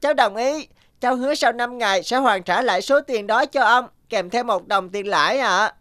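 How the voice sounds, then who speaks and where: A woman's voice: a little high, normal speed, a bit bright, no room sound, studio quality, close to an everyday voice. A woman voicing a polite, eager, determined young street boy in a cartoon. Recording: music behind the voice.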